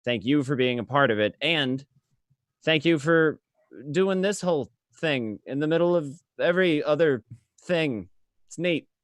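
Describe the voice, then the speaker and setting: A man hosting a casual, excitable panel chat as interviewer. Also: a little high, a little slow, no room sound, studio quality. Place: remote group call from home.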